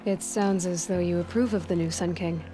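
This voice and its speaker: A woman's voice: on the low side, steady tone, normal speed, natural voice. A woman playing a determined, dry-witted young outcast huntress in a video game. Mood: determined, musing. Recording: loud game music behind. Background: music.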